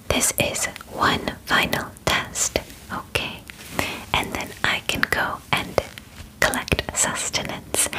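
Whispering young woman, slow and soothing. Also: rough recording. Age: 20s. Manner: formal, soothing, deliberate phrasing. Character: polite, clinical female alien examiner, calmly reassuring. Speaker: ASMR performer. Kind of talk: whispered ASMR roleplay. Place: small bedroom, indoors.

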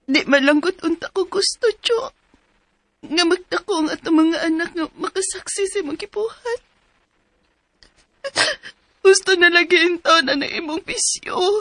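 High-pitched, pleading battered wife. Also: female voice, normal speed, pauses often, a bit bright, dry recording. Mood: tearful pleading. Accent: Filipino, Cebuano. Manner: plaintive, pleading. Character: battered, pleading adult mother of five, long-suffering. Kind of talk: radio drama acting and narrated letter.

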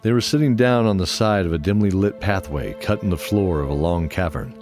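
A man's voice: deep voice, a little fast. A man giving scripted narration for a fantasy audio drama. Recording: music under the voice. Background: music.

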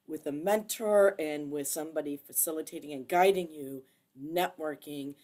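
A woman university archivist and instructor on a video call panel, speaking earnestly and haltingly with many pauses. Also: low-pitched, mellow.

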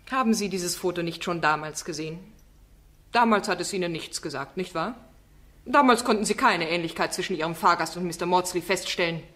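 An actress playing an ironic, insistent defence barrister in a radio play, speaking formally and rhetorically. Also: female voice, mid-range voice, bright, forceful tone. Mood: probing, incredulous. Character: sharp, eloquent female defence barrister, probing and ironic. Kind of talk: German radio play acting.

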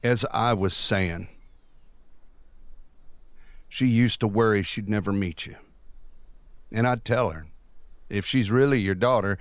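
An actor playing a weary, grieving middle-aged man in an audio drama, speaking in a blunt, halting way. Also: fairly low pitch, dry recording, lightly acted. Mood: grave, blunt. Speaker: actor in a scripted podcast. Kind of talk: scripted audio drama acting. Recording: vehicle noise behind.

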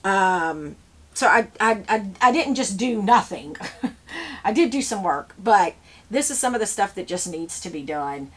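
A woman in her fifties with a drawl talks to the camera in an easygoing, rambling way, full of ums and restarts. Her voice sits at an ordinary middle pitch. She's recorded close up by a fixed camera in a small indoor seed-starting shed while her hands stay busy with trays. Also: big pitch swings, a little slow. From Louisiana, US. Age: 50s. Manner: chatty, rambling, with many fillers and restarts; works with seedling trays while talking. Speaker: flower farmer. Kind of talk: casual chat to camera.

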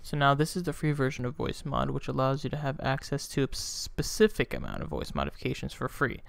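A young man casually narrating a software tutorial as its host, close on a desktop microphone. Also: mid-range voice, lively intonation, brisk, creaky, no room sound. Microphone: close USB desktop microphone.

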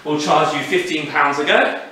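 A man's voice: a little high, moderate pace, pushed and bright. A young man co-hosting a house tour, trading dry absurd jokes with many ums. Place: small rented house, indoors. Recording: echoey rooms with faint noise.

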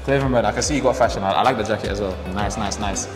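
Fast-talking young man hosting a game show. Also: on the low side. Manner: teasing, quick, repeats words for effect. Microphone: handheld mic. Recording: roomy studio echo with music.

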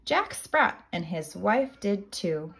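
Expressive woman reading a picture book, unhurried. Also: mid-range voice, vocal fry, breathy edge. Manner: expressive, deliberate storytelling. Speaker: kindergarten teacher reading aloud. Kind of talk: children's picture book read-aloud.

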